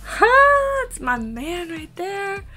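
A woman's voice: extremely high pitch, very animated, extremely slow, sharp tone, full character voice. A woman playing an affectionate, teasing girlfriend cheering on her man in a playful, encouraging ASMR roleplay. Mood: fired-up cheering. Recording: music behind the voice.

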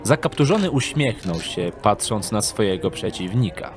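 A man narrating a scripted dark fantasy audio drama in a measured storytelling manner. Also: lowish voice, sing-song, a crowd in the background.

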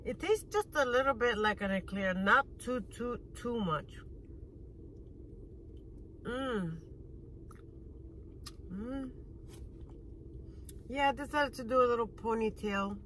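Woman, 30s, chatty car vlogger; unhurried, bright tone, phone held at arm's length, faint outdoor hum. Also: a little high. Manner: chatty, eating while talking, smacking sounds. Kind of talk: casual food review vlog. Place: parked car. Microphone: phone camera at arm's length.

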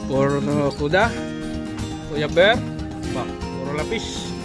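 A man with a Filipino accent giving lively, excitable commentary for his fishing vlog outdoors on a boat, laughing often. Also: on the high side, takes it slowly. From Philippines. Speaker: fishing vlogger. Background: loud music.

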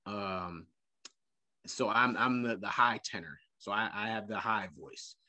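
Bright-voiced man on a video call. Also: lowish voice. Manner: animated, playful, repeats words, acts out quick voices. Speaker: guest. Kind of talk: casual video-call interview. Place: home room with leather couch.

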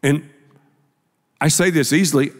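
A man's voice: fairly high pitch, steady tone, measured pace. An older man, a pastor, telling a story in a stage sermon dialogue on a large church stage with a handheld mic. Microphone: handheld microphone. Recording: long hall reverb.

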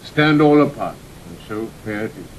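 Man playing a low-voiced, formal rebel lord. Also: expressive, a little mellow, highs cut off, close to an everyday voice. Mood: courteous, firm. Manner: formal, measured. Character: ambitious, formally courteous rebel nobleman. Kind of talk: classical Shakespearean audio drama acting. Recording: old 1960 recording, faint rumble.

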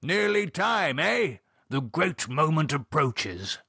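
Man acting hearty older English toff, upper-class accent, jovial, clipped, animated pitch, audiobook character. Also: slow, gravelly, breathy edge, no room sound, clean studio sound, full character voice. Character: hearty posh older Englishman, jolly society gent.

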